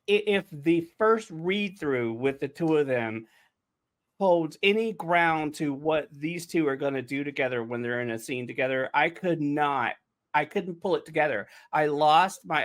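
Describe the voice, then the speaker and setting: A man chatting casually and effusively, with emphatic phrasing, as one of the writers on a podcast. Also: medium pitch. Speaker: audio drama writer and podcast host. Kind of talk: casual chat.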